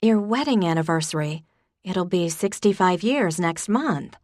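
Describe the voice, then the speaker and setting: Perky young woman, emphatic and quick to agree, read by a female narrator, close and dry. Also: fairly low pitch, lively intonation, a little mellow, no room sound. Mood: eager. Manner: eager, emphatic. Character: young woman, bright and eager.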